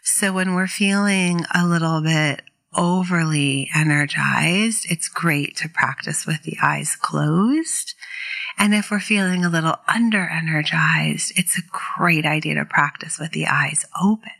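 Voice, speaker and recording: Slow, bright-voiced woman guiding meditation. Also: low voice, gravelly. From New England, living there. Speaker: meditation teacher. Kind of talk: guided meditation instruction to an online community.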